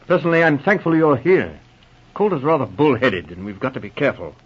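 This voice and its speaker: A cautious police chief in an old radio drama. He speaks with measured, diplomatic authority in a husky voice of medium pitch. The recording is dull and dated. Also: expressive. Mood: confiding concern. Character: cautious, diplomatic senior police officer in charge. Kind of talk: 1940s radio drama acting.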